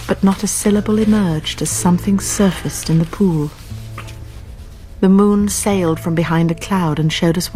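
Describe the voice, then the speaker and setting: An actress plays a composed academic woman narrating a murder mystery for radio. Her voice sits fairly low, measured and literary, with faint music behind it. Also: warm tone, close to an everyday voice, poor audio. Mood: grave, hushed. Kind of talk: scripted first-person radio drama narration.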